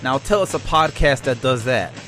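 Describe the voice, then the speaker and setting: A man's voice: medium pitch, expressive, moderate pace, over music. A man who hosts a comedy podcast, delivering scripted comic banter for a promo.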